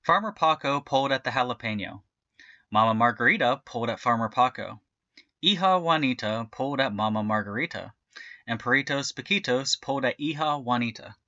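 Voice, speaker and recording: A man narrates a children's storybook with bright, expressive energy. He leans on and draws out key words. The sound is close and dry, a little muffled. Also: medium pitch, fairly quick, dry recording. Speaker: storybook reader. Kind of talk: animated children's read-aloud narration.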